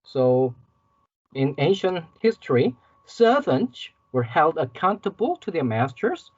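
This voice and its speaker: Korean-accented man, lecturer, lively rising and falling pitch, husky voice, dry dull lo-fi sound. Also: takes it slowly, dry recording. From South Korea. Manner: explanatory, repeats key terms, frequent "okay" and "so". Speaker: university lecturer. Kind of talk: guest lecture.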